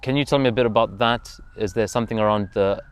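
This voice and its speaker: A man's voice: on the low side, quick pace, smooth. A man from Zambia hosting a casual interview, speaking in an agreeable, affirming way as he shares thoughts and leads into questions.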